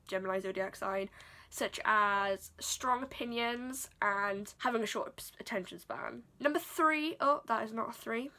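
A woman's voice: fairly high pitch, bright, forceful tone. A South Wales woman in her twenties, chatting bubbly and self-deprecating in a casual bedroom vlog, filmed on a camera at desk distance. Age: 20s. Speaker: vlogger. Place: small bedroom indoors.

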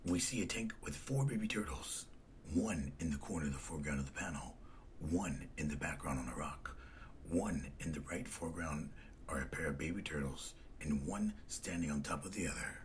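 A man's voice: a bass voice, expressive, normal speed, a little hiss or hum. A man reading scripted, descriptive narration for a comic dub.

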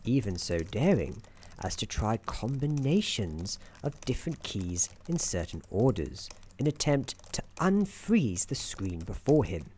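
Soft-spoken man narrating with lively intonation. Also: fairly low pitch. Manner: dryly wry, deliberate storybook narrator addressing his character. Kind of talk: soft-spoken ASMR roleplay, scripted narration. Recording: keyboard typing and clicking noise. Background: keyboard typing, clicks.

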